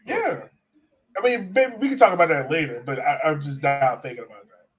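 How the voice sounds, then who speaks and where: A man's voice: a little high, lively intonation, brisk. A man hosting a casual sports fan podcast, talking in a conversational, self-questioning way. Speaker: podcast host.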